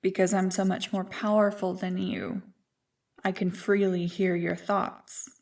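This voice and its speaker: An ancient evil spirit voiced by a woman in an ASMR roleplay, with a medium-pitched feminine voice. She speaks slowly and deliberately, teasing and taunting with seductive, smug condescension. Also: gravelly, a little mellow, distant mic. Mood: intimate, menacing.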